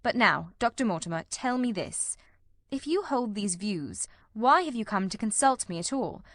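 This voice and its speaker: A woman plays a keen, commanding detective in a storybook reading. The delivery is formal, analytical and inquisitive, at a medium pitch for a female voice, in a dry recording with no room sound. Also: expressive, normal speed, fairly bright tone, dry recording. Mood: wry, questioning. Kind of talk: audiobook character reading.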